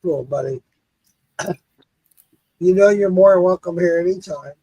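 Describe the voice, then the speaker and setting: A man hosting a casual live podcast who is a bit tipsy from drinking, warm in his thanks and earnest as he repeats them. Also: sits high, a little slow, husky, no room sound, low-quality recording. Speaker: podcast host.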